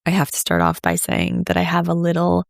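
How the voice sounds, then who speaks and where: Low, scratchy-voiced woman podcaster. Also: very animated, a little fast, gravelly, dry recording, studio quality. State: scratchy voice after an illness. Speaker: astrologer and podcast host. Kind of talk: solo podcast talk.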